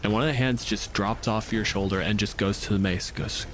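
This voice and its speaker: A man narrating as game master of a tabletop game in an animated, casual way, ready to swear. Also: on the low side, brisk.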